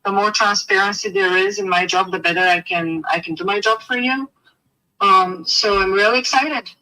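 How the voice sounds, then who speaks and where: A woman's voice: mid-range voice, normal speed, bright, forceful tone. A woman with a Bulgarian accent, a real estate agent talking business on a phone call in a friendly way.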